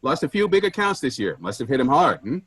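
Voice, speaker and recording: Male actor as pushy office boss, animated, rough-edged voice, blunt, self-important, stingy, stage comedy reading. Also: mid-range voice, fast. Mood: callous, flippant. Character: pushy, penny-pinching male office boss, callous and impatient.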